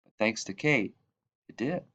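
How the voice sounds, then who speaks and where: A man reading a children's book aloud as a narrator, with measured, expressive phrasing and emphasis on key words. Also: medium pitch, lo-fi sound. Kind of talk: read-aloud storybook narration.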